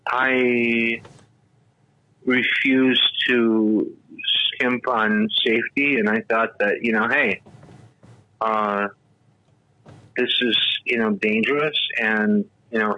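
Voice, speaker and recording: A man's voice: lowish voice, dry recording. A man in his sixties, a radio talk show host, telling stories in a rambling, casual way full of fillers and restarts. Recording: dull, lo-fi radio audio.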